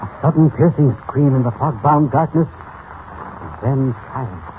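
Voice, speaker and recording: A man's voice: medium pitch, animated, a little slow, a little hiss or hum, close to an everyday voice. A man narrating a 1940s radio serial in a formal, suspenseful, dramatic style, on a tinny old recording. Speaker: announcer, narrator.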